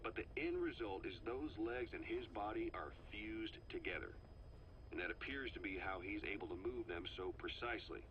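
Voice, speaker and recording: An actor voicing a low-voiced gruff operative. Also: male voice, muffled recording, a little hiss or hum, natural voice. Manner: low, measured, matter-of-fact briefing. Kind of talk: game cassette tape briefing.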